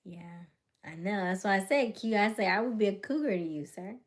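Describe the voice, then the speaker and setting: A woman in her late thirties hosting a casual livestream chat, teasing and playful, slipping into quiet asides. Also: mid-range voice, lively intonation, fairly quick. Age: late 30s. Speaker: livestream host. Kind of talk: casual live chat with viewers.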